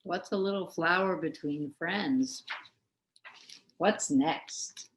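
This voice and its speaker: A woman hosting a children's story time, giving gentle commentary and prompts to young listeners. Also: fairly low pitch, extremely slow, a little hiss or hum.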